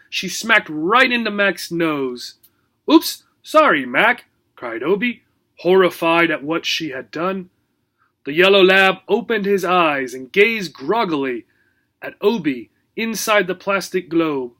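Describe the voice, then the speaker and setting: High, animated man reading a storybook. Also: a little slow. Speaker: teacher reading a children's book. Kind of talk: expressive storybook narration.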